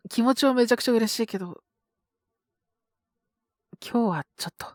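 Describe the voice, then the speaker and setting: A woman playing a sick young male schoolteacher in a Japanese audio drama, gentle and flustered, speaking hesitantly in a weak, feverish mumble. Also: fairly high pitch, dry recording, studio quality, close to an everyday voice. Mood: apologetic, hesitant. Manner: hesitant, mumbling, trailing off with many pauses. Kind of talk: Japanese boys' love situation voice drama.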